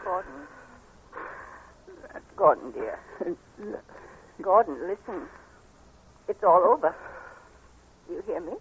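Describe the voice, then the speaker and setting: A concerned aunt in a 40s radio drama, swoopy intonation, dull old recording. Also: female voice, lowish voice, sing-song, takes it slowly, long pauses, a little hiss or hum. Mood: gentle concern. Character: caring middle-aged aunt, soothing and concerned. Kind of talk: 1940s radio acting. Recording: muffled, tinny 1940s radio recording.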